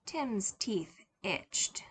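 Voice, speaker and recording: A woman's voice: mid-range voice, very animated, very slow, a bit bright, husky. A woman reading a scripted story expressively as an audiobook narrator. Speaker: podfic narrator.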